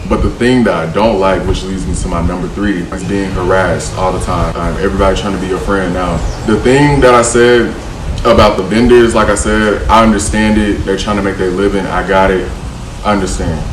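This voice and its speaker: A man's voice: deep voice, steady tone, a little fast. A young American man vlogging in a loose, slangy way, often saying bro and like. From United States. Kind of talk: casual vlog chat. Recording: roomy, distant, air-conditioner hum.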